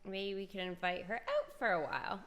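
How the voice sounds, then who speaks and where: A woman's voice: mid-range voice, sing-song, a little fast. A woman streaming a video game with casual, chatty, giggly commentary. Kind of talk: casual playthrough commentary and reading along. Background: soft game music.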